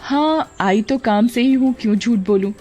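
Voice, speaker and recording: A woman's voice: on the high side, normal speed, darkish tone, natural voice. A woman voicing a witch disguised as a bold young woman in a cartoon, coaxing and insistent. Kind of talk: Hindi cartoon story voice acting. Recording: background music.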